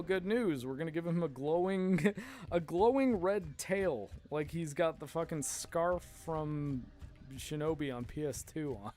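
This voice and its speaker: A man streaming a game, rambling in casual commentary with a hoarse voice and frequent laughter. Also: fairly high pitch, darkish tone. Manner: chatty and rambling, laughs often.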